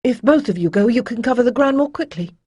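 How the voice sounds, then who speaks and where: A woman's voice: a little high, expressive, quick pace, a little mellow, breathy edge, dry recording, pitched above the performer's own voice. A woman voicing an intense young priestess who pleads urgently and sometimes drops to a whisper. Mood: calm.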